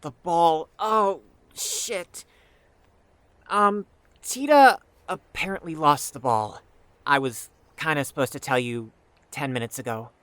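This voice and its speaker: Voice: medium pitch, takes it slowly, fairly bright tone, no room sound. An actor playing a proud, defensive fifteen-year-old prodigy in an audio drama, haughty and precise but easily flustered. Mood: shaken, sheepish.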